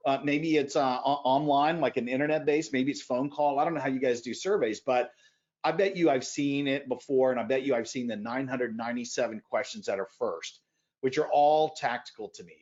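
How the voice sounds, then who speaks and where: A man talks as a podcast guest in an energetic, emphatic way. He pushes some phrases out loudly and drops others into quieter asides. The audio sounds a little dull and lo-fi, and his voice sits at a middle pitch. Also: a little fast. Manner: energetic, emphatic, swings between loud bursts and quiet asides. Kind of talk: animated conversational interview.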